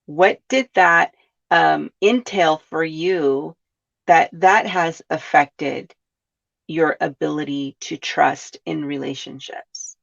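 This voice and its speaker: A woman's voice: low voice, slow, gravelly. A woman from California hosting a reflective self-help podcast, often asking her listeners questions and adding "right?". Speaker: podcast host. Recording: dull lo-fi sound.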